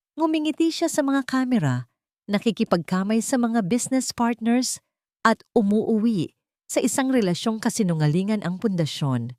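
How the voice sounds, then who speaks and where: A woman with a Filipino accent reading a scripted romance story in a measured, dramatic way with short pauses between phrases. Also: lowish voice, a little mellow, no room sound, studio quality. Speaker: story narrator.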